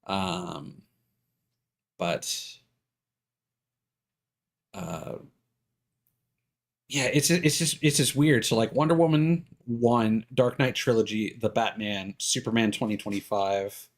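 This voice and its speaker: Low-pitched man, rambling casual streamer. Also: slow, long pauses. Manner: rambling, hesitant, self-correcting, thinking aloud. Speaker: live game streamer.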